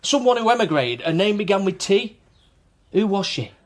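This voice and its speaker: An earnest, probing man in a radio drama. His voice sits fairly high and moves up and down a great deal as he talks. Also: big pitch swings, moderate pace. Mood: exasperated, pressing. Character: earnest, probing grown son confronting his secretive father. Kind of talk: naturalistic radio drama acting.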